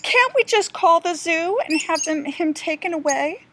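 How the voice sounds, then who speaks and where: Storybook little brother, read by a woman: young, high-pitched, worried and easily rattled. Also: expressive, moderate pace, bright, forceful tone, a little hiss or hum, full character voice.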